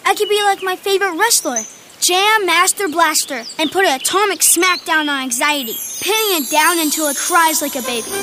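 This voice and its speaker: High-voiced, candid anxious kid. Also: female voice, brisk, sharp tone. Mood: eager, sheepish. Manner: casual, candid, youthful. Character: anxious but game, imaginative kid named Jordan. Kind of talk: audio drama dialogue. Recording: clear outdoor nature noise, birds.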